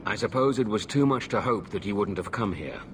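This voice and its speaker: A man's voice: lowish voice, expressive, fairly quick, slight background noise, natural voice. A man playing a principled, weary leader of conspirators in a fantasy game, earnest and firm. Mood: earnest appeal. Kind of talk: fantasy video game acting.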